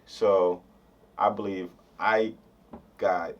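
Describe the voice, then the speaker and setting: Very deep-voiced young man, rambling. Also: steady tone, very slow. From Nigeria. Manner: casual, rambling, slang fillers. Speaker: guest musician. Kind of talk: casual interview answers. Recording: distant, slightly muffled mic with faint background noise.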